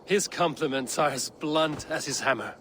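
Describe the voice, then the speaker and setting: A steady Viking chieftain played by a man speaks with dry wit and loyalty. His voice sits a little high for a man. It is game dialogue with clear background ambience. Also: normal speed, slight background noise, natural voice. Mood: wry, measured. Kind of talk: video game dialogue acting.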